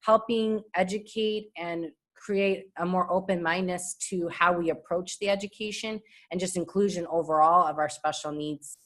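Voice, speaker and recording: Lower-voiced earnest woman. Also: steady tone, moderate pace, few pauses. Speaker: speech-language assistant and parent co-host. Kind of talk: casual webinar conversation.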